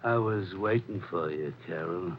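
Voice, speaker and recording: An actor playing a big, slow, simple-minded man, halting and plaintive yet menacing, in a 1940s radio drama. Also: low voice, slight background noise. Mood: suspicious, menacing. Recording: muffled old radio recording, organ music behind.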